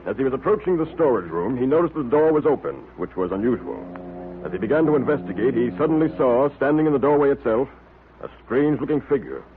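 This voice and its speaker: A man playing a worried, courteous ship's captain in an old radio drama, speaking gravely and formally. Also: medium pitch, lively intonation, natural voice. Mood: grave, explaining. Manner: deliberate phrasing, grave, formal. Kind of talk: 1940s radio acting. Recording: tinny, noisy old radio recording.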